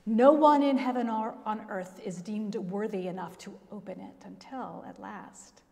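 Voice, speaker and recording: A middle-aged woman pastor preaching a sermon at a pulpit, telling the story expressively with dramatic pauses. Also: medium pitch, gravelly, dark tone. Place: large church, at a pulpit. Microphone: gooseneck pulpit mic. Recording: long church reverb.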